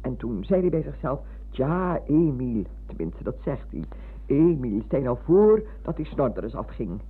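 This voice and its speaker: An actress playing a chatty, sentimental old retired schoolmistress in a radio play, halting and repeating herself. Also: female voice, extremely low pitch, sing-song, breathy edge, full character voice. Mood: wistful, chatty. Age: older. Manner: garrulous, halting with repetitions. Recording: muffled, lo-fi old radio recording.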